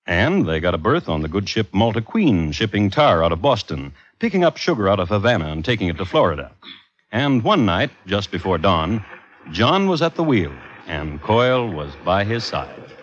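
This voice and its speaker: A man's voice: lowish voice, sing-song, moderate pace. A man narrating an old-time radio drama as a wry storyteller with dry humour. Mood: wry storytelling. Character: wry male storyteller of a crime tale. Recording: dull vintage radio recording.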